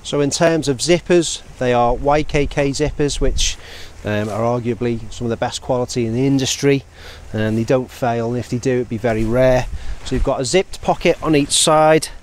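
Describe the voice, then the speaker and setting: A British man in his forties talks through a gear review in a measured, conversational manner. His voice sits at a middling pitch, recorded outdoors with faint noise behind him. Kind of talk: casual product review talk to camera. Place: outdoors in open countryside.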